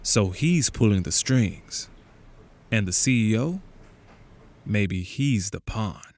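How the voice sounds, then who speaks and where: A man's voice: fairly low pitch, lively intonation, takes it slowly, darkish tone, exaggerated voice. A man playing a laconic, probing confidant in an audio drama, speaking in terse, clipped phrases. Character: laconic, probing male confidant who follows conspiracies. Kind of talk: audio drama, conversational dialogue.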